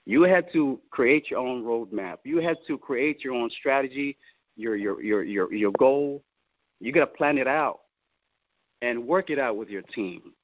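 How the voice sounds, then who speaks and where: A man's voice: medium pitch, animated, measured pace, a little hiss or hum. A man giving a motivational talk to his team, exhorting them and repeating words for emphasis. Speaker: company founder and team leader. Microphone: remote call. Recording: dull, lo-fi conference call audio.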